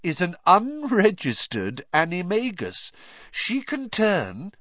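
Storybook schoolgirl played by a man: pitched up, lively intonation, precise, brisk, smugly know-it-all. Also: no echo, muffled recording. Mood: happy triumph. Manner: precise, emphatic, explanatory. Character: clever teenage schoolgirl, earnest, brisk and smugly triumphant.

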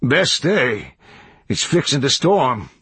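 A man's voice: mid-range voice, very animated, takes it slowly, sharp tone, breathy edge, dry recording, voice pushed up, exaggerated voice. A man voicing a half-mad, agitated old hermit in an audiobook, with clipped, repetitive speech. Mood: urging. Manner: clipped rustic speech, repeats himself emphatically. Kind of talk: audiobook character voice.

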